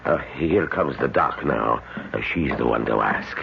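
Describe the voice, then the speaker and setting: An actor playing a gruff, plain-spoken veteran police sergeant with a gravelly voice in an old-time radio drama. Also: male voice, very deep voice, measured pace, full character voice. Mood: awkward, sympathetic. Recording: dull, hissy old radio recording.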